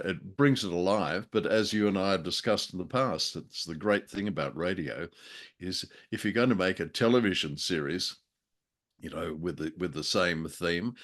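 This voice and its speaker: Low-voiced man, wry and hesitant. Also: a bit bright. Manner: hesitant, circling phrasing with wry asides. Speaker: podcast co-host reviewing old radio plays. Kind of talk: casual conversational review.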